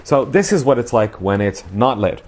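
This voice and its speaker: A man's voice: mid-range voice, animated, normal speed, a little mellow, faint music behind. A man in his forties hosting a casual review to camera in a chatty, emphatic presenter style. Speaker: host of a radio review show. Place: small living room, indoors. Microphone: lapel mic.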